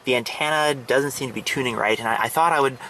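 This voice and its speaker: A man giving a casual, halting show-and-tell of a hobby setup, pausing and restarting as he explains. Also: medium pitch, sharp tone, a little hiss or hum, rough recording. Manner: hesitant, halting, fragmented delivery. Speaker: amateur radio hobbyist demonstrating his setup. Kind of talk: casual demonstration vlog. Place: outdoors and in a parked vehicle in a residential neighborhood.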